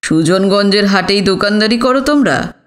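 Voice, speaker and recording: A woman voicing a genial, curious city gentleman and father in an audiobook, chatty and inquisitive. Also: medium pitch, expressive, normal speed, pitched below the performer's own voice, natural voice. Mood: pleased, affable. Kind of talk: audiobook character dialogue.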